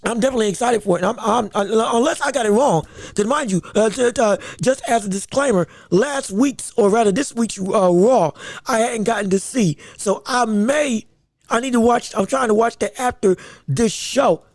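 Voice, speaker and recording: A man hosting his own sports podcast, talking with a scratchy voice, rambling excitedly with lots of fillers and restarts. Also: very high voice, moderate pace, dry recording. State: scratchy, strained voice. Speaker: podcast host. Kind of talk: solo sports and wrestling podcast chat.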